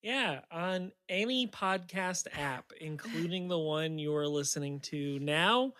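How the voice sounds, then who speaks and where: A man's voice: on the high side, takes it slowly, fairly bright tone, clean tone, dry recording. A man co-hosting a podcast in casual chat, with a joking, rambling way of talking. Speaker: podcast co-host.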